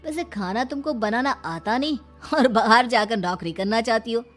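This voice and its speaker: A woman voicing a domineering, hot-tempered young husband in a story, scolding loudly with a sneer. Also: fairly high pitch, moderate pace, faint music behind. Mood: contemptuous. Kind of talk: dramatised Hindi moral story.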